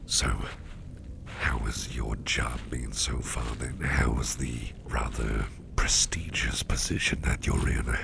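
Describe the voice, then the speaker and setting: A man voicing a mischievous boss with sharp teeth in an ASMR roleplay, talking in a soft, teasing half-whisper. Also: very deep voice, steady tone, slow pace, creaky, background noise, heavily acted. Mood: confiding.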